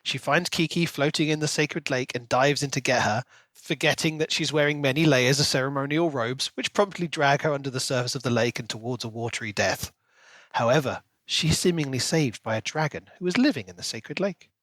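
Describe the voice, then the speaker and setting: A man's voice: mid-range voice, fairly quick, fairly bright tone, no echo. A man co-hosting a book podcast, speaking in a conversational way close to the microphone. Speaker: podcast co-host. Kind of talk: casual book discussion with prepared recap.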